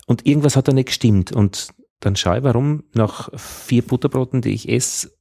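A man with an Austrian accent chatting casually as a radio journalist, telling things in a wry, halting, rambling way. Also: mid-range voice, vocal fry, warm tone. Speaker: radio journalist hosting a conversation. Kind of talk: casual conversational talk.

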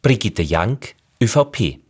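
A man narrating a radio feature in a measured, questioning way. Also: fairly low pitch, sing-song, slightly rough. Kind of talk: scripted narration.

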